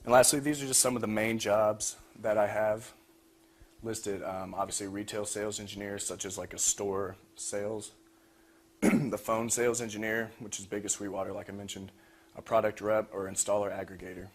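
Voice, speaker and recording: A man's voice: lowish voice, steady tone, moderate pace. A young man giving an informal project talk as a student presenter. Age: young adult. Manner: frequent throat clearing. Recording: noisy room recording.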